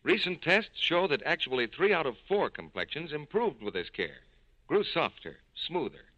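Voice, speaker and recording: Bright, animated male radio announcer. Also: moderate pace, no room sound, highs cut off. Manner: polished sales pitch. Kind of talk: scripted 1940s radio announcing.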